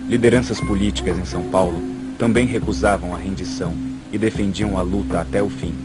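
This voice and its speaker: A man with a very low voice and a Brazilian accent narrates a historical documentary from a script. Loud music plays underneath his narration. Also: steady tone, a little fast. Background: loud background music.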